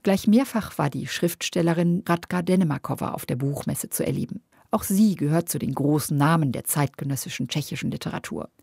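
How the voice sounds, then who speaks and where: A woman reading a scripted radio culture report in a measured, clear newsreading manner. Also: lowish voice, dark tone, dry recording, clean studio sound.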